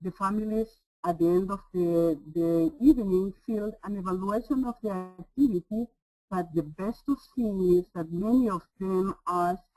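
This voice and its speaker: A woman's voice: medium pitch, no echo, poor audio. A woman from El Salvador with a Spanish accent, presenting a webinar as a trainer in an earnest, emphatic way.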